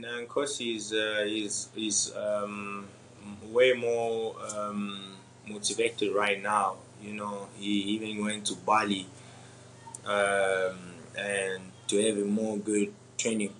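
A young man from Congo with French or Swahili as his first language, answering interview questions in a halting way with many pauses and laughs. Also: fairly low pitch, a bit bright, slight background noise, rough recording. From Democratic Republic of the Congo. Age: young adult. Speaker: MMA fighter guest.

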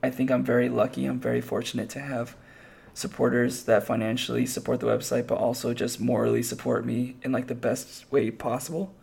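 A man with a hoarse, raspy voice, hosting a podcast and chatting casually on his own, rambling with lots of fillers and restarts. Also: medium pitch, little pitch movement, brisk, darkish tone. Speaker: podcast host. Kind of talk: casual solo podcast talk.

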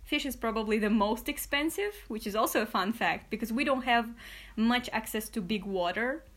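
Young woman, higher voice. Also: normal speed, a bit bright. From Kazakhstan. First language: Kazakh and Russian. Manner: chatty, laughs often, many fillers and restarts. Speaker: interview guest. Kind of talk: casual interview answers.

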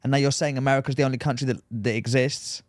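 A man's voice: mid-range voice, fairly even intonation, fast, dry recording, clean studio sound. A man in casual podcast chat, teasing and joking with his co-host. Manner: joking, teasing, laughs often. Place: podcast studio.

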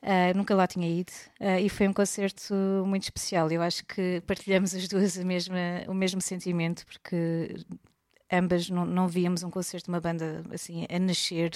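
Woman, European Portuguese accent, podcast co-host, thoughtful, halting, lowish flat voice. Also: dry recording, studio quality. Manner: thoughtful, searching for words. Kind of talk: casual chat about music.

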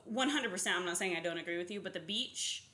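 A woman's voice: on the low side, fast talker, pushed and bright. A woman co-hosting a podcast, teasing her friend in emphatic, casual chat. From Arizona. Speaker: podcast co-host.

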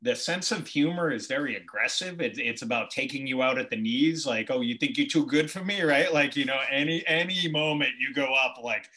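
Bright-voiced American man telling stories casually. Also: mid-range voice, fairly quick, hardly any pauses. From Boston, United States. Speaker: interview guest, author. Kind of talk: casual conversational storytelling.

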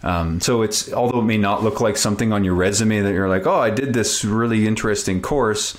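A man's voice: fairly low pitch, brisk. A man in his forties from Canada, a native French speaker, talking casually and reflectively as a guest in an interview. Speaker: podcast guest.

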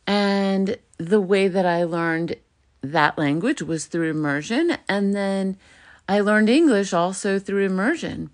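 A middle-aged woman hosting her own solo podcast, talking earnestly and reflectively, getting animated when she acts out what people say. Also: medium pitch, takes it slowly. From United States. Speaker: podcast host.

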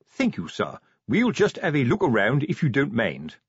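A man's voice: medium pitch, wildly swooping pitch, moderate pace, breathy edge, no echo, muffled recording, pitched above the performer's own voice, heavily acted. A man voicing a respectful, stolid police sergeant in an audiobook story. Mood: polite, dutiful. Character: deferential, plodding male police sergeant.

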